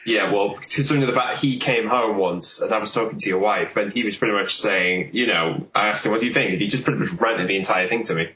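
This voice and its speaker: Lowish-voiced guy in an echoey room. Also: fast talker, few pauses, pushed and bright. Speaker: podcast co-host. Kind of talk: casual chat. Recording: roomy echo, background noise.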